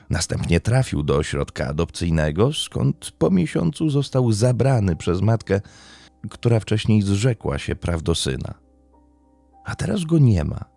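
Dark, gravelly male crime narrator. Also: sits low, no room sound. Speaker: true crime podcast narrator. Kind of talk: scripted true crime narration. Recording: faint music behind. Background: faint background music.

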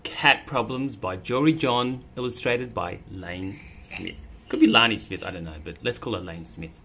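A woman's voice: very deep voice, normal speed, a bit bright, a little hiss or hum. A woman reading a picture book aloud to children, playfully chatting and explaining as she goes. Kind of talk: casual read-aloud for children with asides. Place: indoor room at a table.

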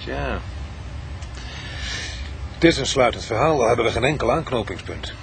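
An actor playing a matter-of-fact police detective in a radio crime drama, sceptical and plain-spoken. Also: male voice, mid-range voice, lively intonation, moderate pace, gravelly. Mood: pondering. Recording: noisy old radio drama recording.

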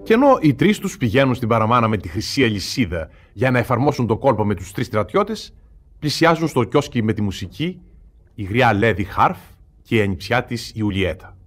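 Animated male radio play narrator. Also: mid-range voice. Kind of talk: scripted radio drama narration.

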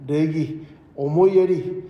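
A man acting an ageing, modest schoolteacher in an audio drama, speaking as a reflective, principled and gently frank mentor. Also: fairly high pitch, steady tone, dull audio. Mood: reflective, earnest. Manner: reflective, measured mentor talk. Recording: echoey hall reverb, music underneath.

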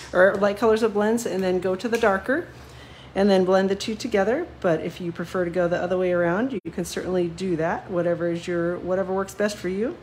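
A woman's voice: mid-range voice, darkish tone, low-quality recording. Sniffly woman chatting through a craft demo, lots of ums, poking fun at herself, faint hum in the room. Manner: chatty, self-deprecating, many ums. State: sniffly from a cold. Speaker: card-making demonstrator. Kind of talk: live card-making tutorial, chatty. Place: home craft desk, seen from above.

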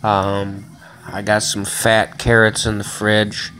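A man's voice: lowish voice, completely flat delivery, slow pace, pushed and bright, low-quality recording. A man rambling casually and swearing often in a home vlog, filmed on a handheld phone in a small room. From Chicago area. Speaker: vlogger. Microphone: phone camera held in hand.

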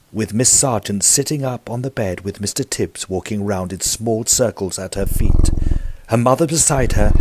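An actor playing a gentlemanly Edwardian narrator of a ghost story, telling it formally in a scripted audio drama. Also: male voice, mid-range voice, a little hiss or hum, close to an everyday voice.

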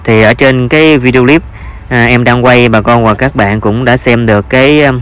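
A man's voice: mid-range voice, steady tone, moderate pace, a bit bright, smooth. A man with a Southern Vietnamese accent narrates an informal vlog as a farmer, with hesitant, repetitive phrasing.

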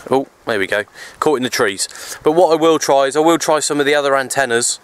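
Noisy outdoor camera audio carries an Englishman in his thirties. He speaks at a middling pitch. His delivery is casual, easygoing and rambling, as a hobbyist talking to his camera. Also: fast talker. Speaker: radio hobbyist vlogger. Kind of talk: casual outdoor vlog chat. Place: outdoors, open parkland in wind. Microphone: handheld camera at arm's length.

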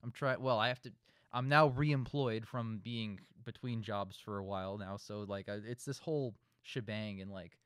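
A man's voice: fairly low pitch, normal speed, fairly bright tone, clean tone, dry recording. Rambling man in his twenties, dry sound. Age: 20s. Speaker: host of a film discussion show. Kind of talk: casual group discussion.

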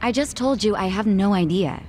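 A woman's voice: mid-range voice, moderate pace, darkish tone, slight background noise, close to an everyday voice. An actress playing a blunt, wounded young woman in a video game, speaking frankly and with emotion. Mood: irritated. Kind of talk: English game voice acting.

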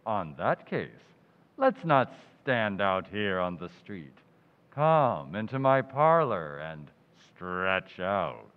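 An actor playing a friendly but ghoulish male undertaker in a radio play, talking slowly and hollowly. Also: sits low, very animated, exaggerated voice. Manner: slow, hollow, punning. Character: gloomy male undertaker, slow and sepulchral. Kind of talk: old-time radio drama acting. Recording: dull reverberant stage recording.

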